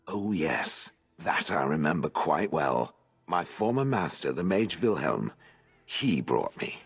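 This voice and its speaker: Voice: extremely low pitch, big pitch swings, takes it slowly, vocal fry, breathy edge, no room sound, full character voice. An actor voicing a grumpy, aloof stone golem in a video game, speaking with weary, haughty sarcasm. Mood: weary disdain. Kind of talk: video game character dialogue.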